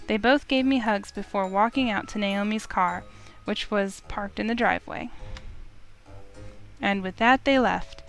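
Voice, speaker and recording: A woman's voice: medium pitch, unhurried, vocal fry, a bit bright. A woman reading a visual novel aloud in character as the heroine, with music behind her. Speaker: game streamer reading the heroine.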